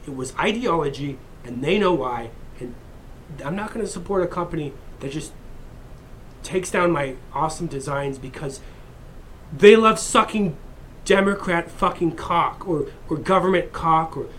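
A man's voice: a little high, normal speed, a bit bright, slight background noise. A man vlogging in a heated, profane, rambling rant to his viewers. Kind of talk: unscripted rant to camera.

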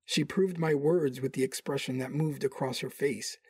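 An actor playing a proud, ardent warrior in love, earnest and commanding, in a first-person audio drama. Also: male voice, mid-range voice, steady tone, moderate pace, a little mellow, slightly rough, no echo, lightly acted. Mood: proud narration.

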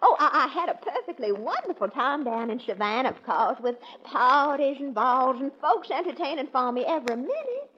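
High-pitched, coquettish Southern belle. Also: female voice, very animated, sharp tone, heavily acted. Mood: gushing, flirty. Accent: American South. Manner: gushing, coy, drawn-out pleading. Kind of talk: 1940s radio comedy acting. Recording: dull, noisy 1940s radio recording.

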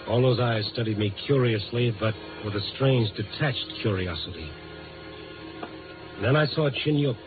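An actor playing a tough, world-weary Chicago newspaper reporter in a 1950s radio noir drama, clipped and blunt. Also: male voice, lowish voice, lightly acted. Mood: wary narration. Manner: hard-boiled, clipped, blunt. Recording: tinny 1950s radio recording. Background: music.

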